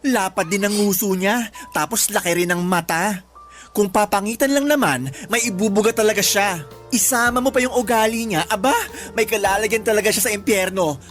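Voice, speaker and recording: Actress as sassy, blunt best friend. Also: female voice, mid-range voice, normal speed, faint music behind, natural voice. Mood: scornful, blunt. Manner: colloquial, teasing, outspoken. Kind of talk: radio drama acting.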